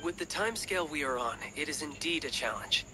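A man voicing a polite, dutiful servant of a wealthy merchant guild in a video game, formal and measured. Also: on the high side, lively intonation, pushed and bright, slight background noise. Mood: businesslike. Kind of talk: scripted video game dialogue.

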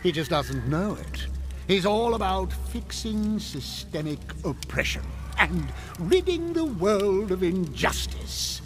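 Smug, scheming demon boss for radio drama; unhurried, swooping intonation, sly condescension. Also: male voice, sits high, sing-song, heavily acted. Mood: sly, condescending. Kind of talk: scripted audio drama acting.

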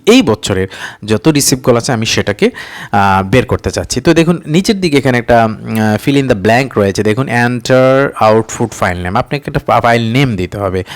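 A man narrates an informal phone app tutorial step by step, instructing repetitively, prompting with rhetorical questions and mixing in English words. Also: mid-range voice. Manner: mixes English words into speech. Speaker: tutorial presenter.

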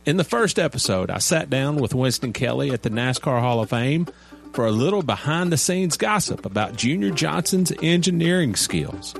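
Podcast guy plugging a show, animated voice, bit of music behind. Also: mid-range voice, normal speed, gravelly. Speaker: podcast host. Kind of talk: scripted podcast promo. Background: light music.

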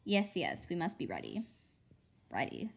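A woman's voice: fairly low pitch, wildly swooping pitch, normal speed, vocal fry, heavily acted. A woman voicing a mysterious old witchy neighbour in a storybook, muttering ominously in short phrases.

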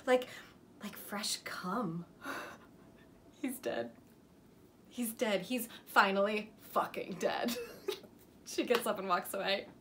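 An actress playing an excitable young woman who acts out a gory horror film in a theatrical monologue, halting and stammering with nervous laughter. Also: high-pitched, a little hiss or hum, exaggerated voice. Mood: spent, relieved.

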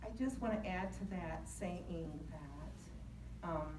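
An older woman giving an earnest testimony in church, speaking deliberately and with emphasis. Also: on the low side. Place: large church sanctuary. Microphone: handheld mic, picked up by distant camera. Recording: echoey church from far off, faint noise.

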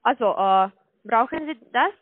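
A woman with a foreign accent in a phone conversation, speaking a second language haltingly as she searches for words. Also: on the high side, animated, dry recording. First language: not German. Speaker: call-centre finance department manager. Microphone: telephone line. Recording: tinny, narrow-band phone call recording.

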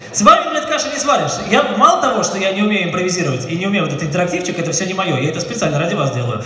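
A young man doing stand-up crowd work, mocking the front row bluntly and swearing a lot. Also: sits high. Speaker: stand-up comedian. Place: large dark theater stage. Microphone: handheld mic, filmed from far. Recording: echoey hall, distant, loud crowd. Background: audience laughter and noise.

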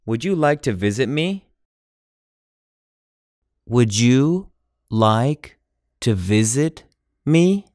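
A man's voice: medium pitch, animated, extremely slow, long pauses, a little mellow, no room sound, clean studio sound. A man with a General American accent reading English phrases for learners, saying each one normally, then word by word. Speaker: English teacher. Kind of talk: slow language-drill reading.

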